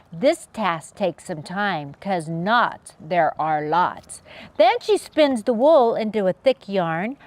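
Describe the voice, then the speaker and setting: Slow, very animated woman storyteller for children. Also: medium pitch. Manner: expressive sing-song rhyming delivery with playful sound effects. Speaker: children's story reader, channel host. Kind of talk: read-aloud storytime for young children.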